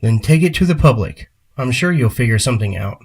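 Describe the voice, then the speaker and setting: A man's voice: medium pitch, expressive, a little fast, a little mellow, husky. A man playing a pragmatic, dismissive boss ordering change in an audio drama.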